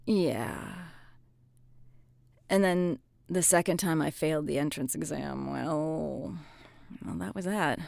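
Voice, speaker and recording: A woman playing a guarded, rueful policewoman in her thirties in an audio drama, with a hesitant, halting delivery. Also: sits low, gravelly, a little mellow, no echo, clean studio sound, full character voice. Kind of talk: naturalistic audio drama acting.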